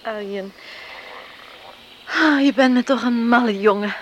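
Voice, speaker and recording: Woman acting high-voiced, exasperated young sweetheart, noisy recording. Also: a little slow, lo-fi sound, lightly acted. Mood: gentle, reproachful. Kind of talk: Dutch radio drama acting.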